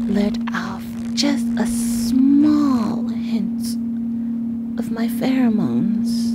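A possessive female naga, played by a woman, speaks extremely slowly in a breathy half-whisper for an ASMR roleplay. She is menacing and teasing, talking down to her prey at a medium pitch. Loud music runs behind the voice. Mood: condescending, coy.

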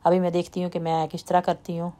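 Woman, lowish voice, relaxed homey baking narration, soft trailing endings. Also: fast talker. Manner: relaxed, chatty, trails off softly at sentence ends. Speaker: home cooking vlogger. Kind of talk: casual step-by-step baking talk.

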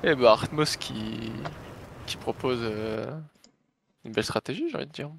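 A man's voice: mid-range voice, moderate pace, vocal fry, slight background noise. Man calling flight sim shots play by play. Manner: energetic play-by-play, counts down shots. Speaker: co-commentator. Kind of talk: live esports play-by-play.

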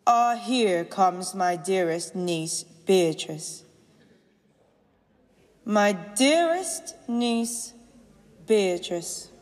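A woman's voice: mid-range voice, animated, extremely slow, long pauses. A woman playing a stage performer who recites Shakespearean comedy in a theatrical, declamatory way. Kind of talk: classical stage acting in audio drama. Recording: long theater hall reverb.

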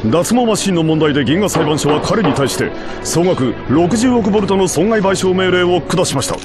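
A man's voice: high voice, expressive, moderate pace, music in the background. An actor playing a flashy, dramatic male TV announcer in a video game, showy and emphatic.

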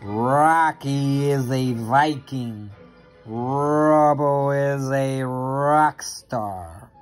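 An expressive reader with a sing-song delivery, reading a children's picture book aloud into a phone at home. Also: mid-range voice, very slow, faint music behind. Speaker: storybook reader. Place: carpeted playroom at home. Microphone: handheld phone near the reader.

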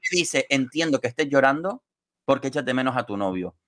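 A man's voice: mid-range voice, lively intonation, brisk, fairly bright tone. A man, giving wordy, gossipy reality-show commentary in casual talk on a video call from home. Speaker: online show co-host and commentator.

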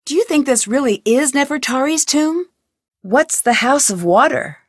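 A woman's voice: high voice, lively intonation, normal speed, no echo, studio quality. An actress voicing a curious, determined young female amateur detective in a video game, asking earnest questions. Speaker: game protagonist. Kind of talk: studio video game voice lines.

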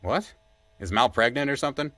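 A man's voice: mid-range voice, lively intonation, brisk, fairly bright tone, close to an everyday voice. An actor playing a wry, exasperated male podcast partner, grumbling in naturalistic audio drama dialogue. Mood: suspicious.

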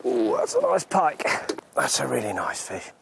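An English man hosting a fishing show, chatting with excitement from a small boat on a river outdoors. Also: mid-range voice, moderate pace, creaky, noisy background. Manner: enthusiastic, chatty. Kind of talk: outdoor fishing chat and piece to camera.

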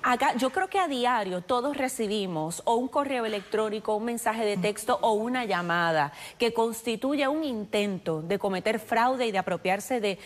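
A woman, hosting a morning TV interview in a studio with clear presenter delivery. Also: on the high side, normal speed, hardly any pauses. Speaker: TV morning show host. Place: TV news studio.

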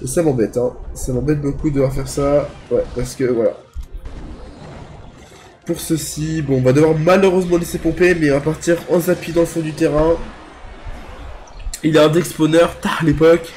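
A young man gives relaxed, thinking-aloud commentary on his own mobile game. A head cold leaves him nasal and stuffy, his voice sits a little high, and a faint hiss runs under the recording. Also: normal speed, long pauses. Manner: chatty, thinks aloud while playing. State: congested, talking through the nose from a cold. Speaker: gaming youtuber. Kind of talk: casual gameplay commentary.

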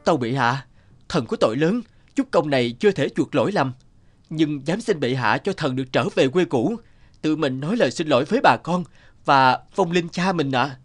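A man's voice: on the high side, expressive, normal speed, faint music behind. A man voicing a humble, repentant former official who speaks earnestly and pleadingly in a cartoon. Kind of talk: Vietnamese cartoon dubbing.

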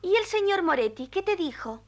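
A woman's voice: extremely high pitch, big pitch swings, a little fast, heavily acted. A woman in a Spanish cartoon dub voicing an anxious young daughter, worried and earnest. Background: music.

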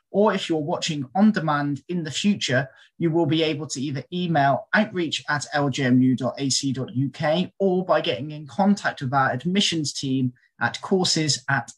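A man hosting a university online session, introducing the speaker and explaining the format in a brisk announcer's manner. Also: on the high side, husky. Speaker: host of university lunchtime sessions.

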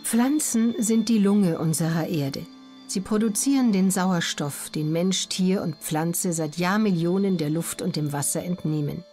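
Female audiobook narrator, mid-range voice, measured and neutral delivery, careful articulation for educational material. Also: mid-range voice, dark tone.

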